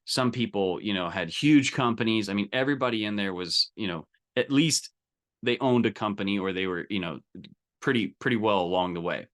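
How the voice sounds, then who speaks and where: A man's voice: lowish voice, brisk, a bit bright. A man giving an informal, emphatic live coaching talk, direct and colloquial, often checking in with listeners. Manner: colloquial, salesy. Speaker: business coach leading a group training call.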